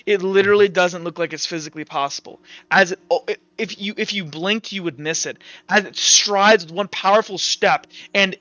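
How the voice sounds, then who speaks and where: A man with a high, crisp voice works as game master, narrating in a casual, stop-and-start way with plenty of swearing. The sound is dry and slightly muffled. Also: bright, forceful tone, no echo. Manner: halting, casual, swears freely. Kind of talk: improvised tabletop game narration.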